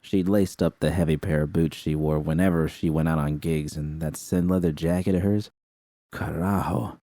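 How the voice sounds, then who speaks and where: A man narrating a first-person fan story in a steady, unhurried reading. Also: very deep voice, no room sound, studio quality. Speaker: fan fiction reader.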